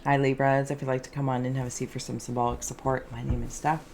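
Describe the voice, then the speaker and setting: A woman hosting an improvised spiritual card and scrying reading, soft-spoken, with a dreamy, meandering, alliterative way of talking. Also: a bass voice, level tone, quick pace, creaky, a little hiss or hum. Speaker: host, tarot and scrying reader.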